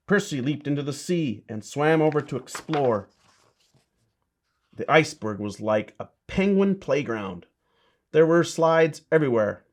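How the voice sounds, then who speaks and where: A man's voice: mid-range voice, darkish tone. A man, a bishop, reading a children's storybook and chatting to kids with simple, encouraging asides.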